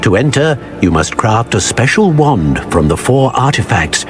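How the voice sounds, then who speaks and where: An actor playing a grave older wizard professor in a video game, solemn, measured and formal in his speech. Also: medium pitch, big pitch swings, over music, natural voice. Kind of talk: dramatic video game acting.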